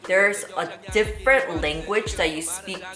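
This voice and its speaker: A woman's voice: on the low side, measured pace. A woman, chatting casually and rambling in an unedited Q&A vlog while cutting fruit. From South Korea and Los Angeles. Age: 30s. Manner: chatty, rambling, often switching into Korean words. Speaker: YouTuber host. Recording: roomy echo with faint background music.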